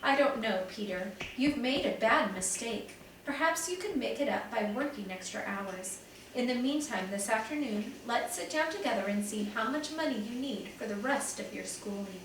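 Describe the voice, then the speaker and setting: A woman voicing a kind, sensible landlady who speaks calmly and firmly. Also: a little high, normal speed, a little hiss or hum. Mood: reassuring. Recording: echoey room, mic at a distance.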